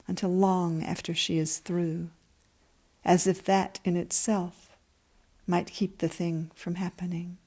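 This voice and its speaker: A woman novelist with a fairly low voice, speaking at a book event. She presents her own work to listeners in an author's unscripted, personal way. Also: darkish tone. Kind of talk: author reading aloud and answering questions.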